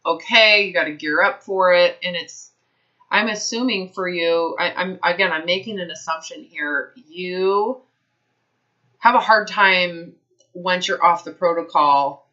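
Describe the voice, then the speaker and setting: Low, bright-voiced woman advisor on a phone call. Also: unhurried. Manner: direct, probing questions. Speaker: health advisor. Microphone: phone held to mouth. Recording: dull lo-fi phone line.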